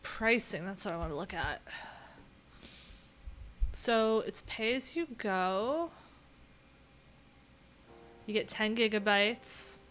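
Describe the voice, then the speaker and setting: A woman thinking aloud in a casual, unscripted tech livestream, informal and halting, often trailing off mid-thought. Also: medium pitch, fairly bright tone. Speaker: livestream host, web developer.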